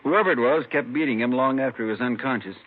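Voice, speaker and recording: A man's voice: mid-range voice, fast, natural voice. A man playing a businesslike police detective, speaking in clipped, matter-of-fact phrases in an old radio crime drama. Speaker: supporting actor. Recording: tinny old radio recording, faint noise.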